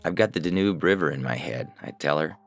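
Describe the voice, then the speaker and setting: A man's voice: very deep voice, animated, fairly quick. A man narrating a scripted first-person science fiction story as its reader. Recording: music bed under the voice. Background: music.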